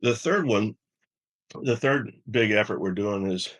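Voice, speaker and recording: Low-pitched mature man explaining in measured phrases. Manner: measured, halting with repeated words, professional vocabulary. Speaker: health system chief medical officer, interview guest. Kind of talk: explanatory interview answer.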